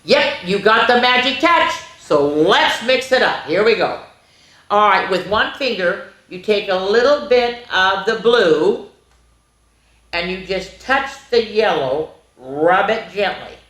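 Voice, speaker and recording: A woman hosting a children's story time, reading a picture book aloud in an animated, sing-song, question-asking way. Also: medium pitch, takes it slowly. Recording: roomy, echoey indoor sound.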